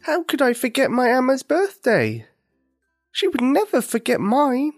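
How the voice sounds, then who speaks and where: A woman's voice: sing-song, measured pace, soft background music, full character voice. Woman doing a sweet little girl. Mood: earnest. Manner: high, pleading childlike voice.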